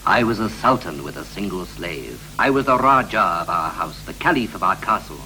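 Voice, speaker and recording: Wistful husband and father, low-pitched and very animated, measured poetic radio drama from the 1960s, faintly noisy tape. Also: full character voice. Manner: measured, poetic, reflective delivery. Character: wistful married father looking back on family life.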